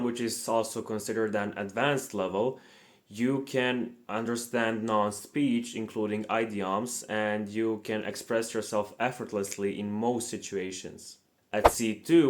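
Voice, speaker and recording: Young man explaining in English. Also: on the low side. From Montenegro. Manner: earnest, plain, slightly formal non-native phrasing. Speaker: language-learning channel host. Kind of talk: scripted explainer.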